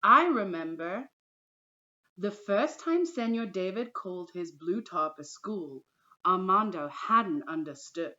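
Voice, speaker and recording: A woman teacher reading a storybook aloud to young pupils in a deliberate storytelling manner, addressing them directly. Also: lowish voice, animated, sharp tone, highs cut off. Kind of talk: children's storybook read-aloud.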